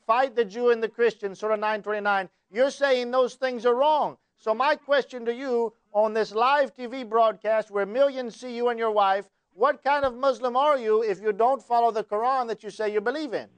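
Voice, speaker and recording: A man's voice: extremely high pitch, normal speed, few pauses, clean tone, dry recording. A man hosting a live TV call-in show, pressing his caller insistently and quoting scripture like a preacher. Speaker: TV show host. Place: TV studio.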